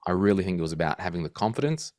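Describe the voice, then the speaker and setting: Guy in his 30s, very low voice, lively storyteller, dry close sound. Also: very fast, no echo. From Australia. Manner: animated storyteller, acts out quotes. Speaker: guest financial podcaster. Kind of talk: casual interview chat.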